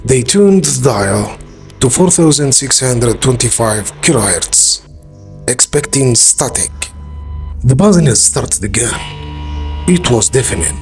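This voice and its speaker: A man with a medium-pitched voice reads a scripted suspense documentary. His delivery is dramatic and ominous, leaving deliberate pauses between phrases. Music plays clearly behind him. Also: animated, a little mellow. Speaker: documentary narrator.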